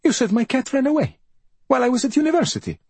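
Audiobook role: patient, dutiful young Italian man, done by a male narrator, lighter higher voice, earnest and exasperated. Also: huge pitch swings, measured pace, gravelly, darkish tone, husky, dry recording, exaggerated voice. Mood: indignant.

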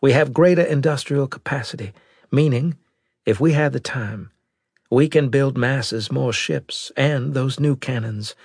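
Man voicing an earnest female brigadier, pitched up. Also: measured pace, a little mellow, slightly rough, dry recording, studio quality. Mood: doubtful. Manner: formal, emphatic military address. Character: earnest, loyal female military brigadier, worried but resolute. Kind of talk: audiobook character dialogue.

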